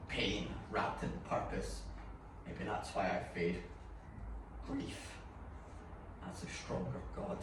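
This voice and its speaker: Man as a brooding, weary dark figure, echoey. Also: low-pitched, fairly even intonation, takes it slowly, pauses often. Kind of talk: dramatic table read of a fantasy film script. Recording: very echoey, far mic, background noise.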